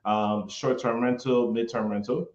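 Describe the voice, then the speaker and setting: A man's voice: mid-range voice, fairly even intonation, a little slow, darkish tone. A man from New York chats loosely and with plenty of energy, dropping slang as he talks. His voice comes over a video call from a roomy, echoing space. The sound is muffled and lo-fi. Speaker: real estate investor guest. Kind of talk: casual livestream interview. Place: home in Atlanta, echoey room. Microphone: webcam or camera mic on a video call. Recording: echoey, dull video-call audio.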